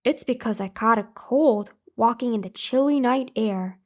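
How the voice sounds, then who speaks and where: A woman's voice: fairly high pitch, moderate pace, voice pushed down, close to an everyday voice. A woman voicing a big, hungry, sly tiger in a bedtime folktale, growling and coaxing. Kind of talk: bedtime folktale storybook reading.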